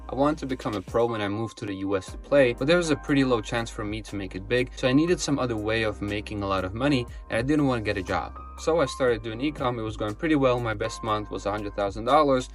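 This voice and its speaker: A man in his twenties from Austria, a company co-founder delivering a scripted promotional monologue in a confident, steady way. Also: mid-range voice. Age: 20s.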